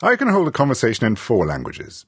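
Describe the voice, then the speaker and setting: A man's voice: medium pitch, wildly swooping pitch, fast talker, husky, dry recording, clean studio sound. A British man reporting and interviewing for a radio feature, wry and conversational. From Britain.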